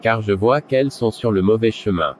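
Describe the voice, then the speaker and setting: A man playing a caring, urgent street evangelist who exhorts passers-by in a scripted animated drama. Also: lowish voice, normal speed, lightly acted. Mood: fervent. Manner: preaching, exhorting. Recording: dull lo-fi sound, background noise.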